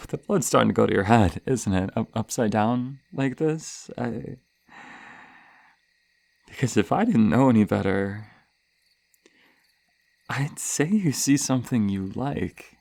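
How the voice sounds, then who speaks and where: Man acting a halting, gravelly masked slasher killer. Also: medium pitch, lively intonation, a little mellow, dry recording. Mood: teasing, unsure. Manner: stammering, halting, breathy sighs and nervous laughs. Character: flustered, scarred, masked male slasher killer, lonely and insecure. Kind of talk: ASMR horror roleplay, intimate monologue to listener.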